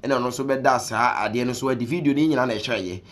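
A man's voice: medium pitch, fast, a bit bright. A young man with a Ghanaian accent, hosting reaction commentary and mixing Twi with English in a conversational way. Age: young adult. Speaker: online show host. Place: small room indoors.

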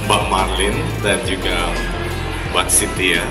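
A young man telling his own story as an interview guest on stage, halting and speaking in short phrases. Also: sits low, little pitch movement. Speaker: guest, sales team leader. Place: stage in a shopping mall expo hall. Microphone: handheld mic through PA, distant camera. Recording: echoey hall PA from far off, music.

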